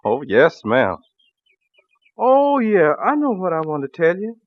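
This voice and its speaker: A man's voice: on the high side, huge pitch swings, a little slow, dry recording. A man playing a genial, chatty railway porter in a 1940s radio drama, folksy and respectful. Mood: chatty, deferential. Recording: dull, dry vintage radio recording.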